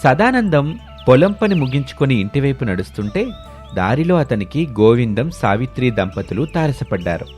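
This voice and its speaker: A man's voice: mid-range voice, very animated, moderate pace, darkish tone, soft background music. A man narrating a scripted moral story as a storyteller.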